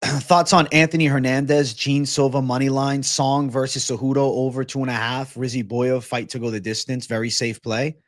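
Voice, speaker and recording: Slangy Massachusetts-born stream host. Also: male voice, mid-range voice, normal speed, no echo, clean studio sound. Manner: loose, animated, slangy, says bro. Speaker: MMA livestream host. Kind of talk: casual livestream chat reading viewer comments.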